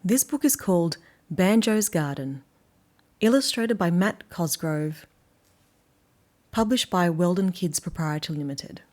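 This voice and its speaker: A woman with an Australian accent reading a picture book aloud to young children, with gentle, often hushed asides. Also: fairly low pitch, expressive, measured pace, long pauses. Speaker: children's story reader.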